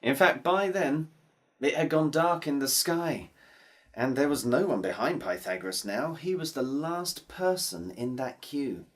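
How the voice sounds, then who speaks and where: A woman telling a folk tale to children, playful and expressive. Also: rumbling low. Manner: expressive, playful, drawn-out repetitions. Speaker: storyteller. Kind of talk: storytelling for children.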